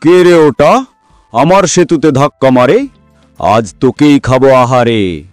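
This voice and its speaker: Slow, menacing ogre ghost played by a man. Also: mid-range voice, no room sound, faint music behind, lightly acted. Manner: gloating, threatening, drawn-out delivery. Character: hideous greedy ogre ghost lurking under a bridge, menacing. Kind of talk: children's cartoon villain acting.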